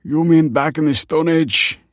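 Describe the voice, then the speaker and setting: A man voicing a wry, kindly old grandfather in an audiobook, with a husky voice and a reassuring manner. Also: a little high, measured pace, dry recording, clean studio sound, voice pushed up, natural voice. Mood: wry, amused. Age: older. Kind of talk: audiobook character dialogue.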